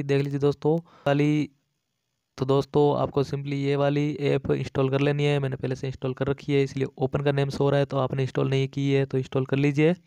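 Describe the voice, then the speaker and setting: A man's voice: medium pitch, dark tone, smooth, no room sound. A man giving a casual, repetitive step-by-step app tutorial as a voice-over, addressing viewers as friends. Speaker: tech tutorial YouTube host.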